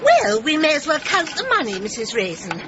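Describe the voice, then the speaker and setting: An actress playing a prim, confiding British village gossip in a radio comedy drama. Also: female voice, sits high, wildly swooping pitch, a little fast. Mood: confiding, fussy. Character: prim, gossipy village woman who knows secrets. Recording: dull sound over clear background noise.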